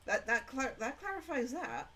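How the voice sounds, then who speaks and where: A woman's voice: high voice, big pitch swings, moderate pace, fairly bright tone, slight background noise. Hesitant woman streamer in character. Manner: hesitant, thinking aloud with many fillers. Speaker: streamer roleplaying as her character. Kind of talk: in-character roleplay chat while gaming.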